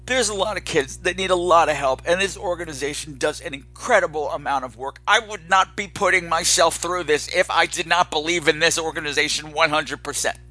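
Weary guy podcaster venting to listeners, highish sharp voice, dramatic and exasperated. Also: fairly quick, few pauses, faint music behind. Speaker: podcast host. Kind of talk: candid unscripted monologue to listeners.

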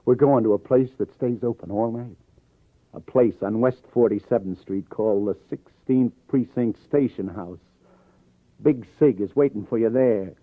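A hard-boiled New detective, played by a man in an old radio crime drama. He talks in a clipped, sardonic tough-guy way at a medium pitch. The sound is tinny and close, like a dry vintage broadcast. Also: moderate pace, dry recording, natural voice. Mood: sardonic, accusing. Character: hard-boiled, wry New York male police detective.

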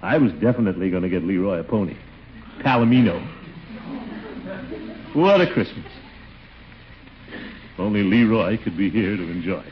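An actor playing a blustery, sentimental middle-aged American uncle in a 1940s radio comedy, with a theatrical, drawn-out delivery. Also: male voice, low-pitched, lively intonation. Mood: mournful. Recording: muffled, noisy 1940s radio recording.

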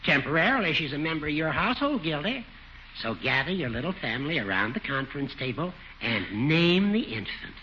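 High-pitched, sly old radio judge. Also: male voice, big pitch swings, fairly quick. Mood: solemn advice. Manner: florid, oratorical, cackling. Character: sly, teasing, long-winded old judge. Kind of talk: 1940s radio comedy acting. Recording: muffled, hissy 1940s radio recording.

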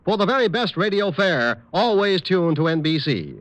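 A man's voice: sits high, big pitch swings, normal speed, dry recording. A male radio announcer reading scripted credits and promotions in mid-century broadcast style. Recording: old radio broadcast with loud music.

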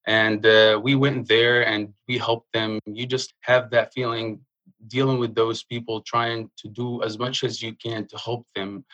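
A young man from Jordan, speaking earnestly in a casual interview and pausing often with fillers. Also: mid-range voice, level tone, pushed and bright, muffled recording. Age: young adult. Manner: earnest, halting with frequent uh fillers. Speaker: guest.

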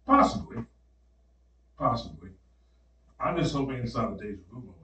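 Animated storyteller man, roomy room. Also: medium pitch, moderate pace. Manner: animated storyteller acting out quotes. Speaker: podcast co-host. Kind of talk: casual storytelling chat. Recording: roomy, slightly distant mic.

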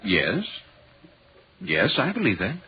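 An actor playing a troubled but rational gentleman in a measured, theatrical 1940s radio horror drama. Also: lowish voice, huge pitch swings, slight background noise, full character voice. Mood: concerned, reasoning. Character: troubled, rational gentleman visitor narrating a ghost story. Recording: dull, muffled 1940s radio recording.

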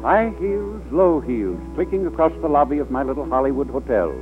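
A man's voice: fairly high pitch, expressive, fairly quick, close to an everyday voice. An actor playing a folksy older hotel man in a 1940s radio drama, on a tinny old recording with music. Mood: wry, musing.

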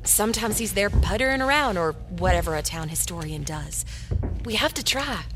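A woman's voice: mid-range voice, expressive, normal speed, close to an everyday voice. A woman playing a caring, practical small-town librarian in an audio drama, helpful and coaxing. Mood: concerned, eager. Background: music under the dialogue.

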